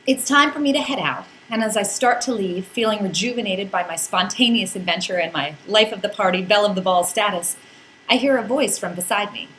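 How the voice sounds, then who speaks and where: A woman's voice: medium pitch, a little fast, a bit bright, a little hiss or hum, low-quality recording. A woman narrating a scripted first-person comic story in a playful, wry, theatrical way with dramatic emphasis. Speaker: podcast narrator reading a blog.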